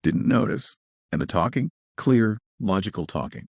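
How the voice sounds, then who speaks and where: A man's voice: on the low side, expressive, normal speed, breathy edge, no room sound, clean studio sound. A man voicing a bewildered, frustrated space station worker in an audio story, questioning and exasperated. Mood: insistent.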